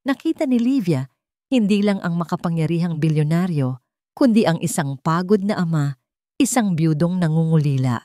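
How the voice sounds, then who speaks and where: Female storyteller, slightly low voice, dry close recording, scripted sentimental romance narration. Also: animated, normal speed, dark tone, no room sound.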